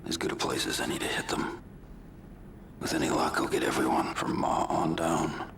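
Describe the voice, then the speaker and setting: An actor playing a grim, vengeful vigilante in a video game, speaking in a terse, deadpan growl. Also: male voice, moderate pace, a little hiss or hum, heavily acted. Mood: grim brooding. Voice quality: gravelly, low growl. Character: grim, vengeful male vigilante antihero, brooding and menacing. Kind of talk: noir video game narration and dialogue. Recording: music behind the voice.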